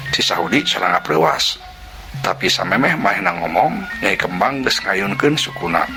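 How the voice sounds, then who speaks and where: A man narrating a folk tale in a lively way, full of sound words and whispered asides. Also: sits low, gravelly. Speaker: storyteller. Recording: music bed under narration. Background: background music.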